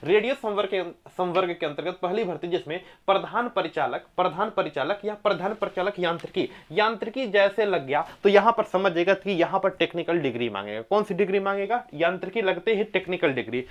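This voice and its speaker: A man's voice: sits high, animated, brisk, hardly any pauses, lo-fi sound. A man teaching an exam coaching class, emphatically repeating key points and putting quiz-like questions to his listeners. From India. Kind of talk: coaching lecture explaining exam eligibility and syllabus.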